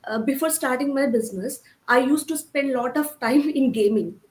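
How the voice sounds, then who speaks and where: Young female panel speaker, high-pitched voice, echoey room; explains her own routine informally. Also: normal speed, darkish tone. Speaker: online business owner on a panel. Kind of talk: personal account in a group chat.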